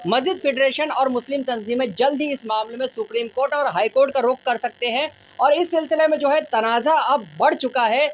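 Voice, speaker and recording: A woman's voice: high-pitched, a little fast, hardly any pauses, lo-fi sound. A woman reporting news on location in formal, wordy, repetitive phrasing. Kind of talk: news reporting, interviewing locals. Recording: noisy on-location news audio.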